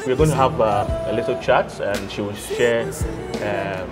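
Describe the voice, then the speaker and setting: A man's voice: lowish voice, fairly even intonation, a little slow. A young Ghanaian man hosting his YouTube interview, upbeat, laughing and repeating words. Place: indoor studio set. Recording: music playing behind the voice.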